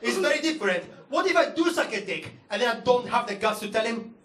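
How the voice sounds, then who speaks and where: A man with a Greek accent performing a stand-up comedy set, ranting and swearing in an animated way to a laughing audience. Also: very high voice, fast, far from the mic. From Greece. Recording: roomy live venue with crowd noise.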